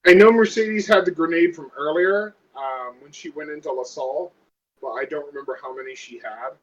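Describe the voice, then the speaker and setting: A man's voice: a little high, brisk. A man chatting casually as a player at a tabletop game. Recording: dull, lo-fi voice recording.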